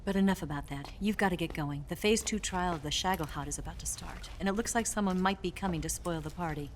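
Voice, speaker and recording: A woman playing a sly, flirtatious double agent in a dramatic video game cutscene, teasing and breathy at times. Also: lowish voice, fast, slight background noise, close to an everyday voice. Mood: cool briefing. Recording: music underneath. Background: cinematic game music.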